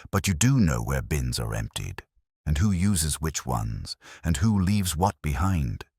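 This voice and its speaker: A man voicing a calm, polite police inspector in an audio drama, gently and patiently questioning. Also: very deep voice, unhurried, slightly rough, no room sound, clean studio sound, exaggerated voice. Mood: gently probing. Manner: gentle, pleasant, quietly probing. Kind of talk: audio drama character reading.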